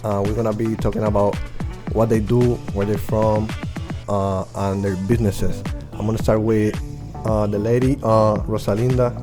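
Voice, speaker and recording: Low-voiced young male podcast host. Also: flat delivery, music in the background. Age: young adult. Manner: halting, many "uh" fillers. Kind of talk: casual podcast interview.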